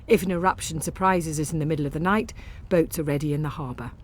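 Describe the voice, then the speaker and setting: A woman narrating a story in the first person, with an expressive, wry and theatrical delivery. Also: deep voice, quick pace, a little hiss or hum. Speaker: audiobook narrator.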